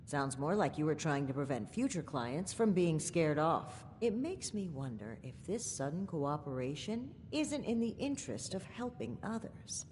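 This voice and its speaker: A woman's voice: low voice, big pitch swings, darkish tone, big-room sound, slight background noise. An actress playing a stern, righteous female soldier investigator in a video game, formal and suspicious. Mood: suspicious, accusing. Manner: formal, accusing, deliberate. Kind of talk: video game character dialogue.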